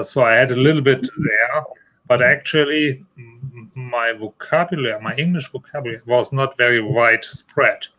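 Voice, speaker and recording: A man's voice: mid-range voice, bright, forceful tone, highs cut off. A man from Germany with a heavy German accent, explaining things step by step in a casual chat. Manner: explains step by step, halting non-native phrasing. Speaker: guest. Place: Iowa.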